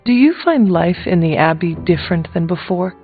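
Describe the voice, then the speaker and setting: Composed, lowish-voiced game heroine. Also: female voice, expressive, moderate pace, phone-quality sound, soft background music. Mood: curious, friendly. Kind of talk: video game voice acting.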